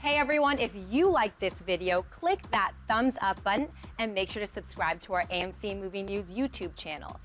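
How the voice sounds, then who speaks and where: Woman, medium pitch, scripted channel promo voiceover, soft music underneath. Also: animated, normal speed, few pauses.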